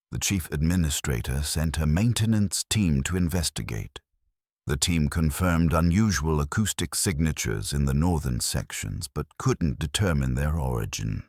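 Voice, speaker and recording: Very deep-voiced man narrating a grim story. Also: darkish tone, no room sound, studio quality. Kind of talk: dramatic first-person scripted story narration.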